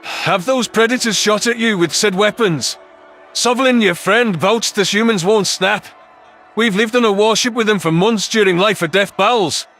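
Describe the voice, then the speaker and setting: Man voicing an impatient alien soldier, fairly high. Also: normal speed, fairly bright tone, soft background music. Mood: exasperated. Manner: exasperated, confrontational. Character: impatient, suspicious alien soldier with claws and bared teeth.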